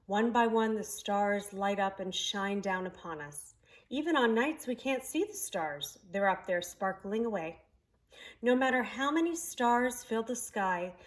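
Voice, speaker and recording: A woman voicing a gentle mother raccoon from a storybook, with a warm, soothing, motherly manner. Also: medium pitch, natural voice.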